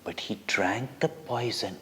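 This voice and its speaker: Slow-speaking Indian man in a reverberant hall. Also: on the low side, fairly bright tone. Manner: deliberate, dramatic, with short pauses between phrases. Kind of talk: devotional storytelling for children. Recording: long reverberant hall echo.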